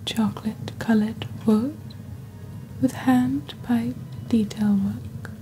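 A woman speaking in a breathy, whispery ASMR style, close to the microphone, with a deliberate theatrical delivery as she presents a scripted roleplay. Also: medium pitch, poor audio. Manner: slow, deliberate, hushed, theatrical storyteller delivery. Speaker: host of a roleplay shopping channel. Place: indoors at a desk. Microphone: close desktop microphone.

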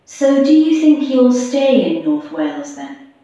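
A woman's voice: sits high, very animated, unhurried, dark tone. A woman playing a composed radio programme presenter in a scripted interview recording. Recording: muffled echoey playback through classroom speakers.

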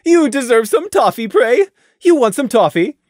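A woman voicing a bubbly, cheerful female pony officer who talks loudly and pushily. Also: fairly high pitch, big pitch swings, moderate pace, dry recording, studio quality, pitched above the performer's own voice. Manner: chirpy, pushy, loud.

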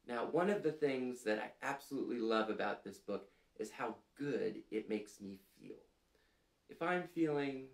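A man in his thirties hosting a children's storytime, reading aloud and prompting listeners in a gentle, deliberate, clearly phrased way, seated in a small room. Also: medium pitch. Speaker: storytime host reading picture books to children. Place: small furnished room indoors, seated on a couch. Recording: roomy indoor sound.

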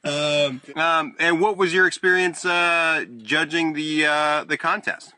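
A male podcast host with a very bright, forward voice and a close, dry sound. He interviews in a relaxed conversational style, often stopping and rewording his questions as he goes. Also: fairly high pitch, dry recording. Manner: halting, rephrasing questions as he goes. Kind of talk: casual interview chat.

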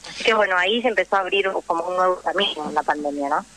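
A woman's voice: fairly low pitch, racing, pushed and bright, a little hiss or hum, low-quality recording. A woman with a Rioplatense Argentine accent, speaking conversationally and explaining at length as a guest in a radio interview. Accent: Rioplatense Argentine Spanish. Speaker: guest, actress and radio drama teacher.

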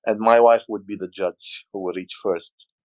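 A man's voice: on the low side, fairly even intonation, moderate pace, a little mellow, no echo. A man from Lebanon in his forties, a podcast guest telling stories in casual talk with many hesitations. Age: 40s. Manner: hesitant, many fillers, storytelling.

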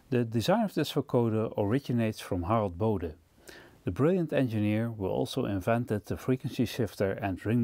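Male gear-demo presenter, mellow and relaxed tone, explanatory delivery, dry close-miked sound. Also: lowish voice, no echo. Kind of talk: instructional product overview narration.

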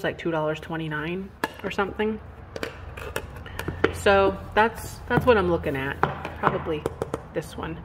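A woman chatting casually and informally to a handheld camera as she vlogs, thinking aloud. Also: low-pitched. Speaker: vlogger. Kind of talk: casual vlog chat to camera. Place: indoors, empty house rooms and a supermarket. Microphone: handheld phone or camera, held out. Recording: clear background noise, handheld camera audio.

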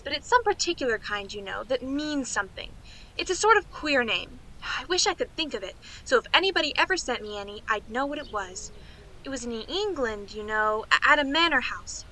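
A woman's voice: high-pitched, bright, forceful tone, exaggerated voice, low-quality recording. A woman playing a chatty, naive young niece in a radio play, gushing and rambling in a girlish way. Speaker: actress.

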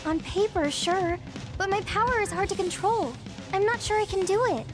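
Girlish anime game heroine soldier, very high voice, sincere, resolute yet self-doubting. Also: moderate pace, over music. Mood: worried. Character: earnest young woman soldier, determined but self-doubting.

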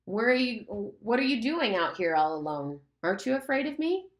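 A woman's voice: on the high side, big pitch swings, normal speed, voice pushed up. A woman reading aloud and voicing a mocking, menacing pig's head that speaks as a beast, in a room with a noticeable echo. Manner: taunting, condescending, menacing. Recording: roomy echo.

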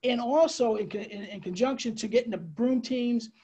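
A middle-aged man with an American accent explains slides as an air traffic controller, conversational and a bit halting, with pauses and asides. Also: very high-pitched, warm tone, breathy edge, dull audio. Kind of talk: informal slide presentation, explanatory. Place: indoors, on a video call.

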